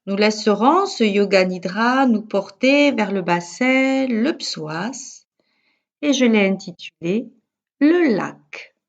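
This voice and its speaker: A woman yoga teacher guiding a relaxation session in a calm, measured, soothing manner with pauses. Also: mid-range voice, vocal fry, muffled recording. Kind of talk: guided yoga nidra relaxation instruction.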